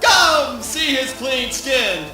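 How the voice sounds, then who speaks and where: A man voicing a shrill carnival sideshow barker with drawn-out, singsong hawking in an audio play. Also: lively intonation, shouting, full character voice. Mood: hawking wonder. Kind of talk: theatrical spoken word audio play. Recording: heavily echoing, faint music behind.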